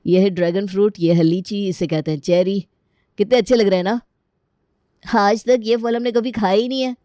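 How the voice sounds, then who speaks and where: Woman putting on a mellow, cheerful family man. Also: mid-range voice, steady tone, moderate pace, no echo, studio quality, lightly acted.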